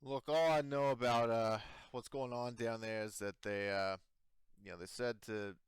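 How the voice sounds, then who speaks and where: A man voicing an apathetic, disinterested mine foreman in a tabletop game, flat and evasive in manner. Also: medium pitch, normal speed, clear, resonant tone, lightly acted. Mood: unenthused, insistent.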